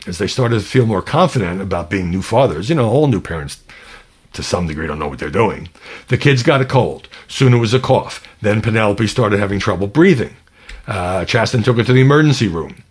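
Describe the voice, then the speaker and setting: Male podcast host, lowish husky voice, conversational political commentary, emphatic and opinionated.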